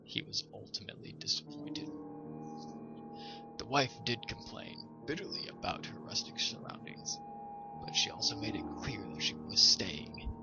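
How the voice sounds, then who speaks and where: A man's voice: mid-range voice, wildly swooping pitch, moderate pace. A man hosting a story reading, narrating in a storyteller's style. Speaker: host and story reader. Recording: slightly dull sound, music behind.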